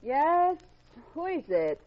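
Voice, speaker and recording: An actress playing a flirtatious, worldly and evasive femme fatale in a 1950s radio crime drama, coy and teasing, with deliberate hesitations. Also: female voice, high-pitched, big pitch swings, no room sound, full character voice. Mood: anxious, welcoming. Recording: dull vintage radio recording.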